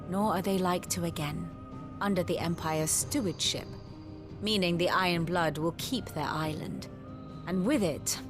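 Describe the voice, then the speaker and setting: A woman playing a composed, learned lore-keeper in a video game, explaining history in a measured, articulate way. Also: lowish voice, lightly acted. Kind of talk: scripted video game exposition.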